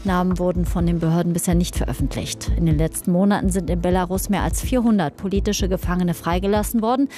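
A woman reading a radio news bulletin and weather forecast in a measured, formal way. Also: fairly low pitch, warm tone, soft background music.

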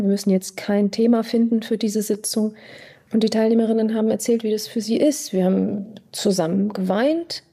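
A woman psychotherapist from Iran, answering interview questions in a measured, thoughtful way with pauses and fillers. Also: medium pitch, dark tone, husky.

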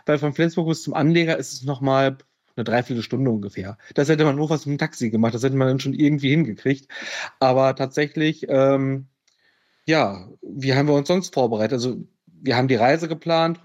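Guy telling his story in an interview, kinda high voice, lots of uhs, muffled lo-fi sound. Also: a little mellow. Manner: hesitant, many fillers. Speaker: interview guest. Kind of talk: casual storytelling. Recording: dull lo-fi sound.

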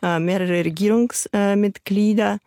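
A woman's voice: medium pitch, steady tone, a little fast, dark tone, no room sound, clean studio sound. A woman from Ukraine, a journalist, speaking as an interview guest in a thoughtful, hesitant way with fillers. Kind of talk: casual interview talk.

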